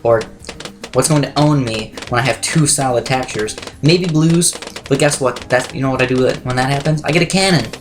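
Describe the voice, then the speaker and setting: A young man with a slightly raspy voice, talking quickly through game strategy as a let's play commentator. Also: mid-range voice, noisy background. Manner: fast, chatty, self-correcting strategy talk. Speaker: gameplay commentator.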